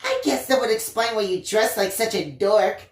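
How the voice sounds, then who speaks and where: A woman's voice: mid-range voice, lively intonation, normal speed, roomy sound, recorded from a distance, voice pushed up, close to an everyday voice. A woman voicing a bratty, teasing little girl in a storybook reading, with a sing-song, mocking delivery. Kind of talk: dramatised audiobook reading.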